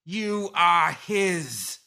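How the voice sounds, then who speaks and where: A man plays a female demon leader from a dark fantasy tale. The voice is lifted high and has a forceful edge. Threats are drawn out with seductive, vengeful relish. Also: lively intonation, very slow, creaky, exaggerated voice. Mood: vengeful rage. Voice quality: soft, seductive.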